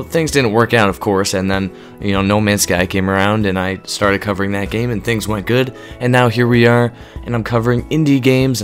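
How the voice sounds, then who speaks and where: This is a young man with a hoarse, fading voice, pitched low and monotone. He rambles on earnestly with plenty of ums, talking straight to his audience as if to friends. Soft guitar music plays quietly underneath. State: hoarse, voice going from illness. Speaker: gaming YouTuber. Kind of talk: unscripted casual talk to camera.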